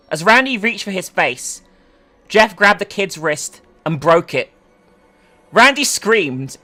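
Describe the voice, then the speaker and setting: A narrator giving a dramatic reading of a horror story, expressive, with sweary, incredulous asides. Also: medium pitch. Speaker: narrator and commentator.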